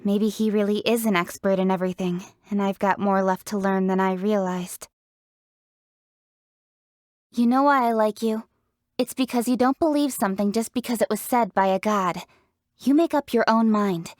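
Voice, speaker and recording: A woman's voice: mid-range voice, moderate pace, darkish tone, no room sound, clean studio sound, natural voice. An actress playing a confident, proud young woman leader in a fantasy video game, speaking in an articulate, earnest, self-assured way. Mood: reflective, earnest. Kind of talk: scripted video game character voice lines.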